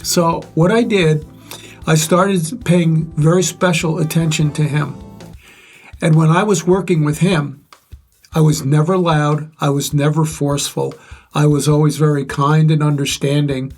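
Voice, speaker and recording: Older man telling stories, measured. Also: a little high, fairly even intonation, faint music behind. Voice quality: relatively loud voice. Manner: measured, pausing often with "uh". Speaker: guest sharing life advice. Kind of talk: reflective conversational storytelling.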